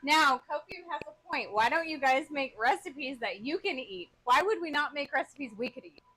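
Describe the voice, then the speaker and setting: A woman co-hosting a cooking stream, reading out chat and teasing her husband in quick banter. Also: sits high, expressive, bright, forceful tone, poor audio. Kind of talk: casual live-stream chat.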